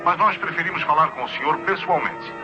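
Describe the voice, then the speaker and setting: An actor plays a polite but guarded officer in old Brazilian radio drama. His voice sits a little high and stays formal. The recording is dull, with music behind. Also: fairly quick, lightly acted. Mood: courteous, guarded. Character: courteous, guarded police officer posing as family friend.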